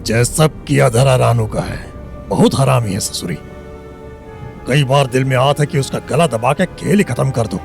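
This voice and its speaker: A male actor plays a menacing small-town tough with a creaky, gravelly voice. He talks coarsely and rustically, with a short temper. Faint music runs under this audio drama. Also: medium pitch, moderate pace, natural voice. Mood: brooding, aggrieved. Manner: coarse, rustic, swearing speech. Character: hot-tempered, bullying small-town man, lovesick and menacing. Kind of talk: Hindi audio drama acting.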